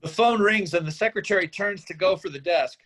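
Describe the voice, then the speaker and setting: High-pitched male screenplay narrator. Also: brisk, fairly bright tone. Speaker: narrator reading stage directions. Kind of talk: scripted narration.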